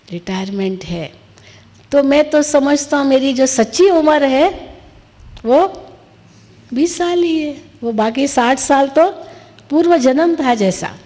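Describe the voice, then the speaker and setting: In a reverberant hall comes an old retired businessman from a parable, acted out by a woman. He is candid and at peace, laying out his reasoning patiently and slowly, in a soft mellow tone. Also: fairly high pitch. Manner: explains himself patiently and plainly. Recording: long reverberant hall echo.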